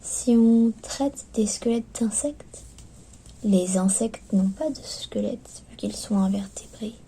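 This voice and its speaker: A woman speaks softly in an ASMR roleplay as clinic staff, often slipping into a whisper, with gentle, hesitant, attentive patter. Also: medium pitch, slow pace. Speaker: ASMR performer playing skeleton clinic staff. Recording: lo-fi recording with background noise.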